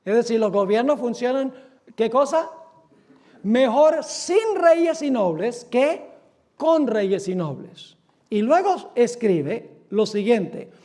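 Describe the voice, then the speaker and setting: Brief: male pastor from the US, strikingly high voice, emphatic sermon delivery with rhetorical questions. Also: animated, warm tone. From United States. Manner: emphatic, asks rhetorical questions and answers them; reads quotations aloud slowly. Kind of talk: sermon lecture.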